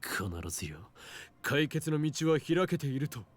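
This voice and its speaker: Male actor as grandiose young mad scientist for a visual novel: fast, pompous, declamatory, slightly high for a man. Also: lively intonation, natural voice. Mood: grandiose, ominous. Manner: theatrical, declamatory. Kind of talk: Japanese visual novel voice acting.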